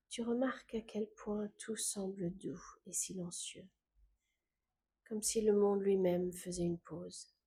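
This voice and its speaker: A woman guiding a scripted meditation in a calm, gentle, unhurried way, with soft phrase endings that fade into breath. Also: mid-range voice, a little hiss or hum. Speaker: meditation guide.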